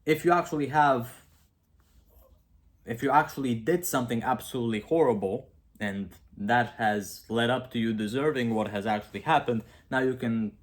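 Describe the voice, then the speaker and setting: A man's voice: mid-range voice, normal speed. A young man with a Romanian accent, talking casually to camera about philosophy, rambling and self-mocking with frequent asides. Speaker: video creator.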